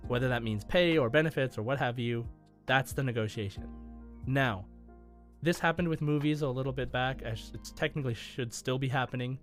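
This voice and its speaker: A man's voice: medium pitch, brisk, faint music behind. A man hosting a podcast in a casual, conversational style, explaining things as he goes. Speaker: podcast host.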